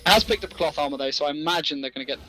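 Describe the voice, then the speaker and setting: A man's voice: a little high, rapid-fire, pushed and bright, rough recording. A man giving live esports commentary, talking through the play conversationally and posing rhetorical questions.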